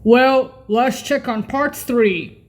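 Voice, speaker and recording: A woman's voice: fairly high pitch, extremely slow. A woman giving an informal, unscripted on-screen walkthrough, hesitant and often repeating and correcting herself. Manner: frequent throat clearing. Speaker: video creator and presenter.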